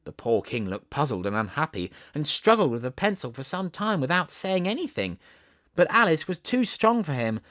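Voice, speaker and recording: A man with a Southern English accent narrates a children's storybook. His reading is theatrical and expressive, rising and falling widely in pitch. The sound is close and dry. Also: normal speed, no echo. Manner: theatrical, animated. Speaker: audiobook narrator.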